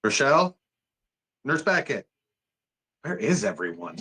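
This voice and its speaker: A man's voice: on the high side, big pitch swings, a little slow, bright, forceful tone, husky, heavily acted. Man acting as an everyday, slightly irritable male doctor. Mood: puzzled. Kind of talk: amateur screenplay table read acting.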